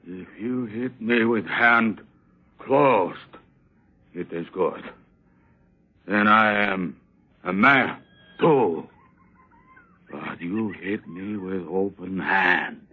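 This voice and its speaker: Slow, menacing African servant. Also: male voice, low-pitched, slightly rough, phone-quality sound, full character voice. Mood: wounded, defiant. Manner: terse broken English, deliberate. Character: wronged, proud African servant, quietly menacing. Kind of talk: dramatic acting. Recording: thin band-limited sound with faint noise.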